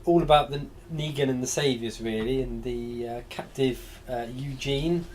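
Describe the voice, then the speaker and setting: A man co-hosting a casual podcast chat, his voice a bit throaty and gravelly today, relaxed with hesitant ums. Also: mid-range voice, moderate pace, a little hiss or hum. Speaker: podcast co-host.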